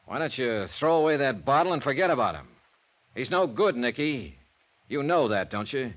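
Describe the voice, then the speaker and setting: An actor in a 1950s radio drama playing a tough, wry investigator with a clipped, commanding manner. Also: male voice, mid-range voice, very animated, moderate pace, close to an everyday voice. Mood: frank urging. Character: tough, cool, wry male insurance investigator.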